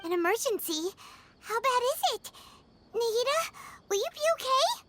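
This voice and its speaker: Squeaky, excitable little fairy companion. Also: female voice, normal speed, slight background noise, heavily acted. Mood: worried. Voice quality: squeaky, childlike. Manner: speaks of herself in third person, dramatic. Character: tiny high-pitched childlike fairy companion, excitable, anxious. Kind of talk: animated video game voice acting.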